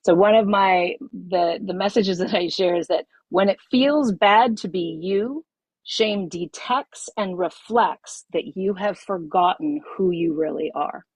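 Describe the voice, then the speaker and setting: A middle-aged woman, a yoga teacher, leading a group masterclass over a video call, emphatic and expressive, repeating her key phrases. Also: mid-range voice, a little slow, husky, dry recording, rough recording.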